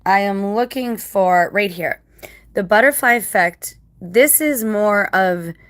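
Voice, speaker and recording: A woman with a scratchy, dry-mouthed voice after crying, talking casually to viewers in a halting, reflective, earnest way. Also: medium pitch, fairly bright tone, no echo. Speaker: vlogger.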